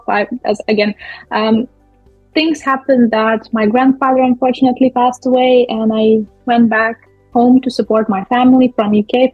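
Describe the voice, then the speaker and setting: A young woman from Lithuania, a guest speaking in a friendly, halting way with many fillers. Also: on the high side, no room sound. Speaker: guest, business owner. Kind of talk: casual interview talk. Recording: muffled, dull audio.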